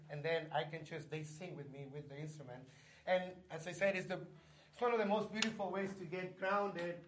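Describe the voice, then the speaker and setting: High-voiced man leading a sing-along. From northern Chile. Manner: gentle, encouraging, loosely worded explanations. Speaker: community music leader and bandleader.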